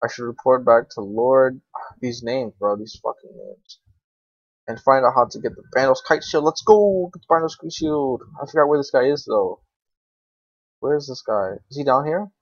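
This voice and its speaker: A young man talks through a video game in a loose, slangy ramble, swearing casually as he thinks out loud. His voice sits at an average male pitch. The sound is dry and close but dull and lo-fi, like a basic computer microphone. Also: moderate pace, no echo. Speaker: gaming video creator. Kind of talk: casual unscripted game commentary.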